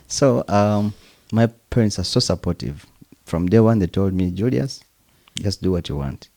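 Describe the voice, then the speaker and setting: A man's voice: fairly low pitch, animated, unhurried, creaky, dark tone. A Ugandan man whose first language is Luganda, a percussionist chatting in an interview, chuckling and making preacherly asides. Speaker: percussionist guest. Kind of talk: relaxed interview conversation.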